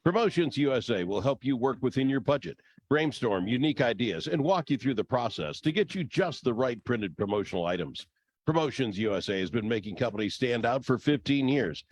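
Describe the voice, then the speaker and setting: Male radio commercial announcer, mid-pitched. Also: expressive, brisk. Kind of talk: scripted radio ad narration.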